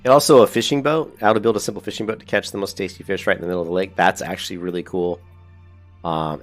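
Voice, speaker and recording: Casting note: man with a very low voice, stuffy from a cold, chatty and upbeat, faint music underneath. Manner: rambling, self-correcting, upbeat. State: congested with a cold. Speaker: gaming video host. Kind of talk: casual commentary reading a website.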